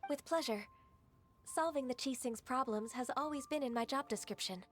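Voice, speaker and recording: An actress playing a gentle, modest young secretary in a video game, speaking politely and formally in measured phrases. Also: female voice, high voice, over music, natural voice. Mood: modest, dutiful.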